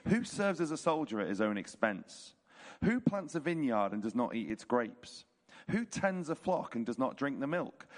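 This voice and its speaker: Hoarse man reading scripture in a hall. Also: medium pitch. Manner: casual intro, then measured rhetorical reading. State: hoarse, croaky voice. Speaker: church leader reading scripture. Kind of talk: scripture reading at a church service. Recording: reverberant hall.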